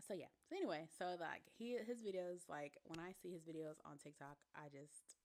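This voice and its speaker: Woman, Southern US accent, lowish pitch, casual podcast host, informal, slangy, chatty. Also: normal speed, a little mellow. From Mississippi, US South.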